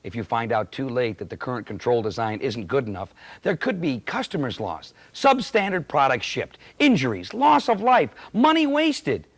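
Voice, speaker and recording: A man's voice: medium pitch, sing-song, moderate pace, hardly any pauses. A man acting as a plain-spoken, emphatic presenter, giving scripted instruction in a corporate training film. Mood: emphatic, earnest.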